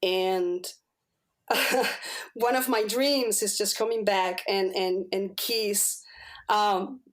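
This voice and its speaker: A woman's voice: medium pitch, fairly even intonation, very slow. A woman whose first language is Spanish, a podcast guest giving a personal testimonial, earnest and often searching for words as she repeats herself. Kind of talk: personal testimonial in casual conversation.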